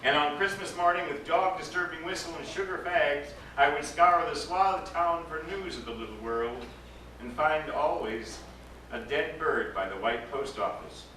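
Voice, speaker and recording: A man, an actor, giving an expressive, dramatic reading of a literary story aloud. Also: fairly high pitch. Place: indoor live reading to a gathering. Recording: echoey room, distant mic, background noise.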